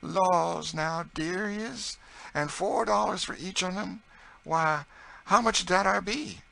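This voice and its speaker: A man's voice: high-pitched, slow pace, gravelly, pushed and bright, pitched above the performer's own voice, heavily acted. A man voicing an eager, motherly enslaved woman cook in an audiobook, with a folksy dialect and exclamatory delivery. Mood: delighted. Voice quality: folksy dialect speech with dropped sounds. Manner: exclamatory, emphatic. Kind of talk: audiobook character dialogue.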